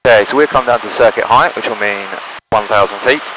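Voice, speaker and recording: A man's voice: on the low side, a little fast. A man speaking as a private pilot, making radio calls and talking through his flying. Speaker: newly licensed private pilot. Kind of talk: aviation radio calls and cockpit commentary. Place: small Cessna cockpit in flight. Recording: loud aircraft engine noise.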